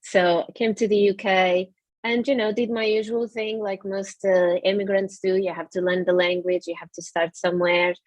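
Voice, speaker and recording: A woman's voice: mid-range voice, flat delivery, normal speed, dry recording. A woman from Portugal with a Portuguese accent, a yoga studio owner telling her story in an earnest, reflective way with many fillers. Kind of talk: interview.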